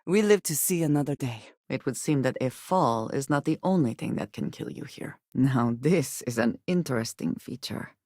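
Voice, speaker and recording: A woman voicing a guarded, serious female space explorer companion in a video game, with measured, formal diction. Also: a bass voice, animated, dark tone, dry recording, clean studio sound. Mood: alert. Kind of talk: video game character dialogue.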